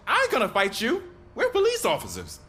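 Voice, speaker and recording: An actor playing an earnest, conciliatory police dispatcher in a video game. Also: male voice, extremely high pitch, wildly swooping pitch, moderate pace, sharp tone, exaggerated voice. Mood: defensive.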